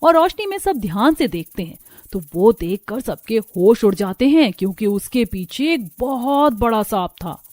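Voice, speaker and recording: High-pitched woman narrating a cartoon story. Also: big pitch swings, normal speed, darkish tone, no room sound. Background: background music.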